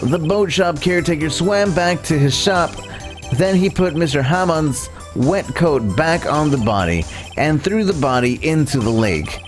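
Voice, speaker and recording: A man voicing a young defense lawyer in a courtroom video game, earnest and nervous but determined, explaining in halting, formal speech. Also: a little high, expressive. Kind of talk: live voiced playthrough of a courtroom video game. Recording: game music under the voice.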